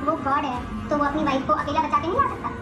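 A woman's voice: high voice, lively intonation, fast. A young actress playing a challenging woman who puts pointed rhetorical questions in a film drama. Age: young adult. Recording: echoey film clip with music underneath.

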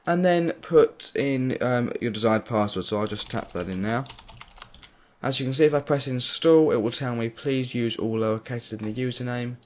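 A man giving a clear step-by-step voice-over for a software tutorial. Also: mid-range voice, moderate pace. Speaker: tutorial creator. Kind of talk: screen-recorded software tutorial.

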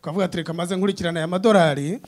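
A man preaching a church sermon in short bursts, pausing so an interpreter can translate each phrase. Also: sits high. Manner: acts out quoted voices while preaching. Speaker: pastor.

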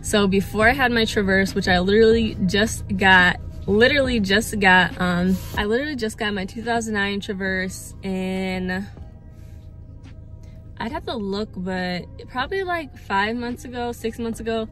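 A young woman talking straight to her phone from the driver's seat of a parked car. She rambles in an earnest, chatty way, with plenty of filler words and repeated phrases. Her pitch sits in the middle. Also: normal speed, fairly bright tone, faint music behind. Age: 20s. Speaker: vlogger. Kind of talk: casual chat to camera. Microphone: phone held at arm's length or mounted on dashboard.

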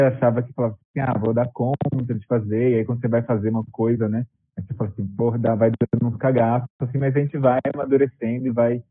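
A man's voice: medium pitch, husky, no room sound. A young man, a dancer, chatting casually on a video call from a room at home, rambling with lots of fillers. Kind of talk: video call panel talk. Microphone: laptop mic on video call. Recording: tinny compressed call audio.

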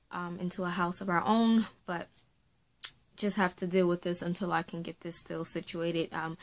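A woman in her late twenties, a faith and beauty vlogger, talking casually to camera from a small room at home, rambling and gesturing, her throat sore after being sick. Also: on the low side. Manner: rambling, talks with her hands. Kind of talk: casual chatty vlog to camera.

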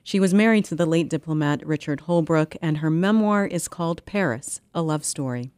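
A woman in her forties hosting a radio interview, measured in delivery, close on a studio microphone. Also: sits low, a little mellow, dry recording. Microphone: studio microphone on boom arm, close.

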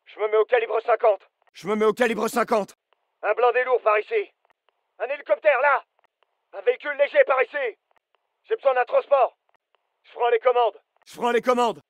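An actor playing a disciplined, urgent soldier in a military video game, giving short, clipped tactical callouts. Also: male voice, very high-pitched, fairly even intonation, moderate pace, long pauses, no room sound, poor audio. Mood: businesslike. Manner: clipped, commanding, terse.

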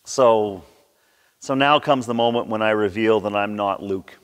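A man in his sixties preaching a sermon with theatrical, emphatic delivery and dramatic pauses, recorded in a church. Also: on the low side, smooth. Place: large church sanctuary, indoors at a pulpit. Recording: reverberant church hall.

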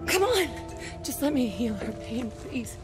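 A woman's voice: a little high, sing-song, measured pace, over music. An actress playing a desperate, protective woman in a video game, urgent and imploring. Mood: desperate pleading. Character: desperate, protective woman pleading for a sick child. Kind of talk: video game character acting.

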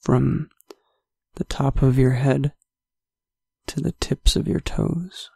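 A man leading a guided meditation, speaking slowly and softly with long pauses between phrases. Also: medium pitch, steady tone, gravelly, breathy edge, no echo, clean studio sound. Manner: slow, soft-spoken, with long pauses.

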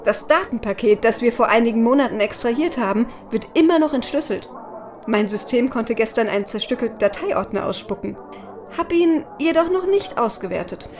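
A woman's voice: a little high, lively intonation, brisk, a little mellow, lightly acted. A woman playing a prickly, short-tempered hacker in a German audio drama. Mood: brisk. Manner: snappy, exasperated. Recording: music bed behind the voice.

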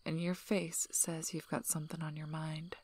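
A woman voicing a gruff, guarded but protective werewolf alpha in a breathy, soft-spoken ASMR role-play, dry and teasing. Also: deep voice, level tone, moderate pace. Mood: low, softening. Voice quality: breathy, husky, half-whispered.

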